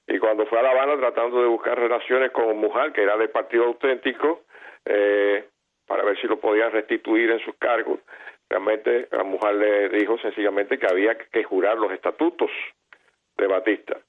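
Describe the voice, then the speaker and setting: A man's voice: fairly low pitch, fairly even intonation, normal speed. Elderly Cuban man recounting on a phone line. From Cuba. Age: 80s. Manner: earnest and storytelling, with self-corrections. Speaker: interview guest. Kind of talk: personal recollection in an interview.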